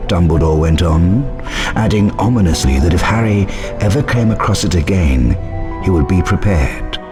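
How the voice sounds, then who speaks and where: Fantasy game narrator, low voice w lots of movement, dramatic storybook read, music behind. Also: male voice, lively intonation, moderate pace. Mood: storytelling. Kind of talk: scripted narration with acted quotes.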